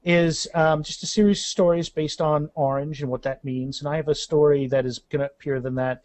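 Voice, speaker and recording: Higher-pitched man chatting casually in an interview. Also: steady tone, fairly quick, a little mellow, no room sound. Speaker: author interviewed as podcast guest. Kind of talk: casual interview chat with anecdotes.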